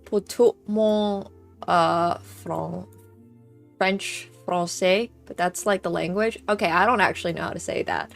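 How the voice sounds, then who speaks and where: A woman's voice: on the low side, unhurried, creaky. A young woman with Chinese as her native language, chatting casually with viewers as a live-stream host. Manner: chatty, thanks viewers, tries greetings in many languages. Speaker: livestream host. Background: faint background music.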